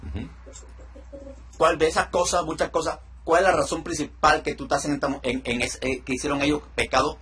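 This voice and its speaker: A middle-aged man speaks with calm authority over a video call. He gives steady commands and pauses to explain each step of the session. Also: on the high side. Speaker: deliverance minister. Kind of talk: ministry session with teaching asides. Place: indoor room.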